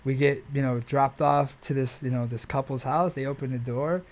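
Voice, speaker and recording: A man's voice: mid-range voice, fairly even intonation, moderate pace, darkish tone. An American man speaking as a podcast guest and jiu-jitsu trainer, telling stories casually in informal, slangy language.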